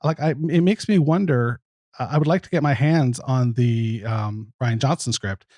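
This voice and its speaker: A man's voice: medium pitch, moderate pace, mellow, dry recording. A man appearing as a podcast guest, musing informally about a film. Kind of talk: casual film discussion.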